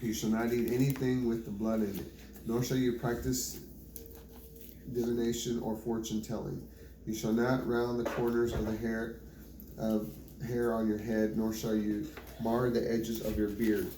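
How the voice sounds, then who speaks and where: Guy reading the bible out loud, soft dark tone, kinda echoey room w a bit of noise. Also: on the low side, level tone, a little slow. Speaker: Bible reader. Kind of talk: reading scripture aloud. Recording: roomy home recording with faint background noise.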